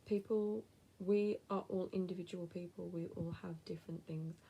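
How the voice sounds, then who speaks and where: A woman's voice: on the low side, fairly even intonation, normal speed, vocal fry, warm tone, husky, muffled recording. A woman in her thirties with a West Midlands accent, chatting casually to camera as she answers viewer questions, blunt and with whispered asides. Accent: West Midlands English. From England.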